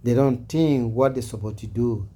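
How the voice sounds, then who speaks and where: Mellow African man making an earnest appeal. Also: medium pitch, moderate pace, slight background noise. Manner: earnest, exhorting, repetitive. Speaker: speaker addressing online viewers. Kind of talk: impassioned informal speech to camera.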